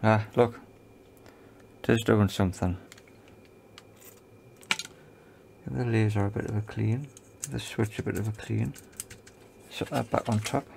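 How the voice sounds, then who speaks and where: A man's voice: fairly low pitch, fairly even intonation, measured pace, creaky, pauses often, a little mellow, a little hiss or hum. A man giving casual, unscripted commentary on a hands-on repair, thinking aloud in short phrases. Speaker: host of an electronics repair video.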